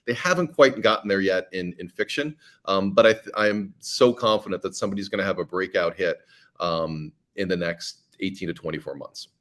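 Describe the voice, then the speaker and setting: A man's voice: deep voice, brisk, fairly bright tone. A middle-aged man, a podcast creator and guest, talking casually in an interview with many fillers and restarts.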